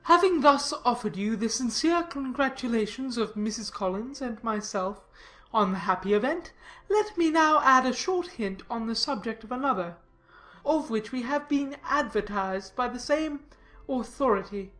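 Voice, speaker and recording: A woman's voice: fairly high pitch, animated, fairly bright tone, faint music behind. A woman voicing a pompous, sanctimonious clergyman reading out his formal letter in a dramatised audiobook. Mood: self-important. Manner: pompous, formal, deliberate emphasis.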